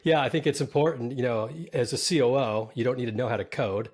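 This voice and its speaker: Guy hosting a startup podcast, upbeat and chatty, mid-pitched voice. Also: fast, darkish tone. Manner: upbeat, scripted intro then conversational. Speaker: podcast host. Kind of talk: interviewing a startup founder.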